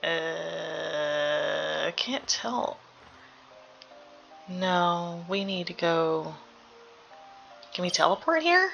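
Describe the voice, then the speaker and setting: A woman giving casual game reaction commentary, creaky-voiced, analytical and sweary as she thinks aloud. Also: on the low side, lively intonation, very slow, long pauses, fairly bright tone, muffled recording, faint music behind.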